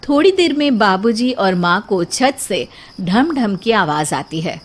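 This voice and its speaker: A female storyteller reads scripted narration. Her voice sits at a middle pitch for a woman, telling the tale in the third person. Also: big pitch swings, measured pace.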